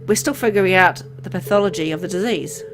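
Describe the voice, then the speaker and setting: A woman reading aloud as a kind, caring male doctor in a game, speaking gently and earnestly. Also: fairly low pitch, fast, music in the background, close to an everyday voice, low-quality recording. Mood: reassuring.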